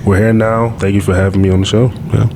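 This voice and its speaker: A man in his twenties, born in Nigeria and raised in Georgia, talks as a podcast guest in a relaxed, easygoing way. His voice sits very low. A clear rumble of noise runs under the recording. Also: fast talker. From Georgia, USA, born in Nigeria. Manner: relaxed, easygoing, many fillers. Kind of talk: casual conversation.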